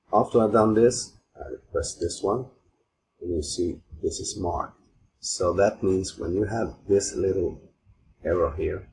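The low-voiced Swedish guy in a homemade screen-capture tutorial, explaining each step in halting, non-native phrasing over background noise. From Sweden. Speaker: tutorial host. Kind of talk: informal software tutorial. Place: voice-over recorded at an old computer. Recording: steady clear background noise, mouse clicks.

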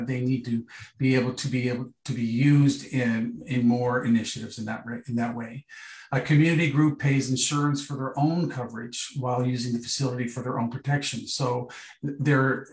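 A male election candidate answers a question over a video call, stopping and restarting his sentences often. His voice sits at an ordinary pitch. The room around him rings with echo. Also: darkish tone. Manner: halting, with many restarts and fillers.

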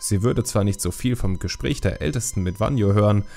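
Male audiobook narrator, lowish gravelly voice, dramatic fantasy storytelling, faint music behind. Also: a little fast, warm tone.

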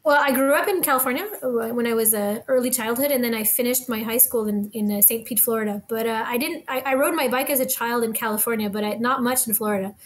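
Soft-spoken middle-aged woman from montreal on a headset, husky tone, lots of uhs. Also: a little high, steady tone, fast, few pauses. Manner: warm, soft, many hesitations. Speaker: guest, bike activist. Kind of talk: casual podcast chat. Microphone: headset mic on video call.

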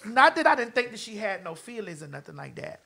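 A woman in her forties hosting a casual gossip talk show, with a playful, teasing and animated manner. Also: medium pitch, quick pace, creaky. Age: 40s.

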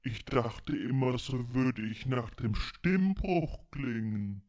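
Man doing a goofy crewman in slow motion. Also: mid-range voice, expressive, darkish tone, lo-fi sound. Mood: dreamy. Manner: drawn-out, very slow. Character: goofy male crewman with slowed, stretched voice.

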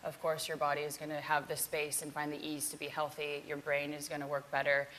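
A woman's voice: deep voice, monotone, brisk, a bit bright, slight background noise, low-quality recording. A woman yoga teacher giving a casual keynote talk with a live demonstration, chatty and self-deprecating.

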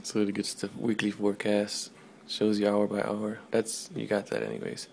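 A man casually demoing an app on camera, joking and talking loudly to the device at his desk. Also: fairly low pitch, level tone, moderate pace, vocal fry, slight background noise. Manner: offhand, joking, says commands loud to the device. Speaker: tech video host. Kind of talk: casual product demo. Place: small room at a desk.

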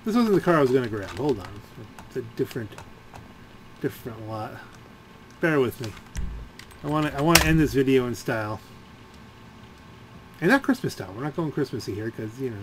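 A man giving casual, rambling gameplay commentary, halting as he thinks aloud. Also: medium pitch, animated, slight background noise, low-quality recording. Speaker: gaming video creator.

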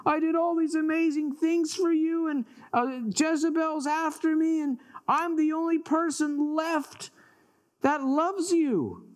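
A storyteller's plaintive Elijah, high-pitched frightened man. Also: measured pace. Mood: aggrieved. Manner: plaintive, dramatic, exclaiming. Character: Elijah, frightened aggrieved man, a lonely prophet.

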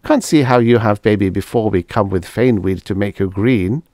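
A man's voice: fairly low pitch, moderate pace, dark tone, dry recording, studio quality, natural voice. A man voicing a woman from Venus who speaks broken English, dropping small words. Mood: earnest. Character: alien woman from Venus, simple and earnest.

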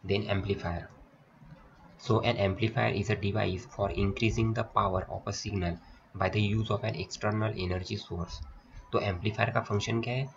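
A man's voice: fairly low pitch, fairly even intonation, takes it slowly, creaky. A man with an Indian accent giving a slide lecture, reading points aloud and explaining them step by step. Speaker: polytechnic lecturer. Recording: dull lo-fi audio, faint noise, clicks.

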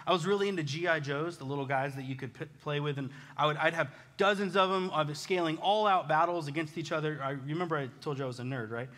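Man, American accent, pastor, higher-pitched bright forceful voice, casual animated preaching, self-deprecating storytelling, long hall reverb. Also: quick pace, few pauses. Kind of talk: conversational sermon with personal stories.

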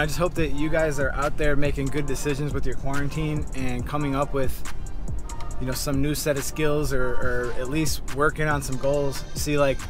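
An American man in his thirties talks to his viewers in a loose, casual vlog style. His voice sits at a medium pitch, and his delivery is easygoing and full of chummy slang. Music plays clearly behind him. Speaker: barber and vlogger. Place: moving car, later a barbershop.

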